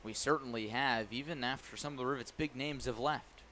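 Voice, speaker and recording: A man calling a baseball game live on the radio as the play-by-play announcer, animated on big plays and playful with his phrasing. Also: medium pitch, brisk. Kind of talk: live radio sports commentary. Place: live at a ballpark broadcast position.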